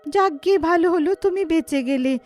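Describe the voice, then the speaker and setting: A woman voicing a sharp-tongued, grumbling village wife in a cartoon, dramatic in manner. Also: very high voice, moderate pace, soft background music, pitched above the performer's own voice. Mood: sarcastic scolding. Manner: scolding, dramatic. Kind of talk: cartoon character acting.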